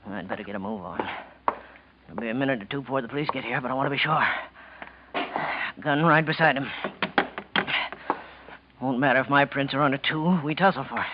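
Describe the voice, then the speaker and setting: A man's voice: mid-range voice, noisy background, close to an everyday voice. A man acting a scheming, gloating killer in a 1940s radio mystery, jittery and resentful, with a taunting, theatrical delivery on a muffled vintage recording. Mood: tense, muttering.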